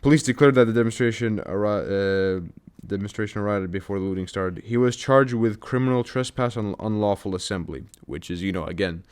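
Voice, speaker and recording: A man's voice: fairly low pitch, fairly quick, a little mellow, smooth. A man giving casual, mocking commentary while reading a news article, rambling with many fillers and restarts. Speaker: commentary YouTuber.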